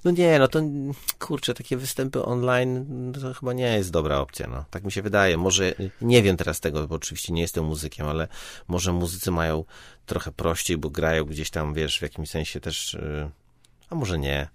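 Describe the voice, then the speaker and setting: A man's voice: deep voice, moderate pace, dry recording, clean studio sound. A man talking casually as a guest, informal and hesitant, thinking aloud and letting sentences trail off. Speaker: podcast guest, stage comedian and improviser. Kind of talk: casual conversation.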